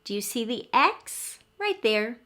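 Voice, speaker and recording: A woman's voice: a little high, sing-song, unhurried, fairly bright tone. A woman teacher giving a phonics lesson and story time for young children, explaining simply and asking frequent questions. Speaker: early learning teacher and story reader.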